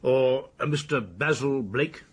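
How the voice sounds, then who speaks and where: A man's voice: mid-range voice, unhurried, husky. An actor playing a courteous, methodical English police detective in a radio drama, polite and probing as he questions.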